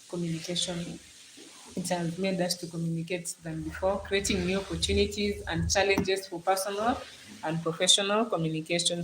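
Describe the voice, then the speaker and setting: Echoey, lower-pitched Kenyan woman livestreaming. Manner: repeats phrases for emphasis. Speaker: livestream host. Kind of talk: casual livestream talk. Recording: roomy echo, clear background noise.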